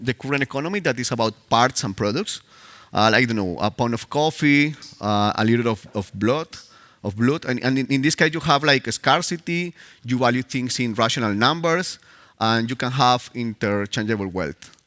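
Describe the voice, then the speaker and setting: A man's voice: mid-range voice, lively intonation, normal speed, fairly bright tone. A man with a Spanish accent giving a live conference talk, hesitant, with many fillers and restarts, Spanish as his first language. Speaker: conference speaker. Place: conference stage.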